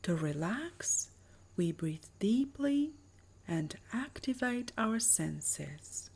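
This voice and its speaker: Slow, husky woman guiding meditation. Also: fairly high pitch, expressive, darkish tone. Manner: soothing, measured, breathy delivery with lingering pauses. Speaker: meditation guide. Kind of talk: guided meditation narration.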